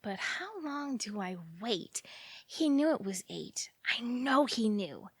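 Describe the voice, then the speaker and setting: A woman's voice: fairly high pitch, expressive, slow pace, breathy edge, no echo, full character voice. A woman acting in audio theatre as an anxious, lovelorn young woman who rambles and questions herself fretfully. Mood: rising desperation.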